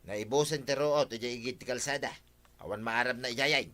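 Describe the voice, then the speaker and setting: A man's voice: mid-range voice, brisk, a bit bright, lightly acted. A man playing a stubborn, defensive cattle farmer in a radio comedy drama, with an Ilocano accent and an argumentative manner. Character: stubborn, defensive village farmer who owns a cow.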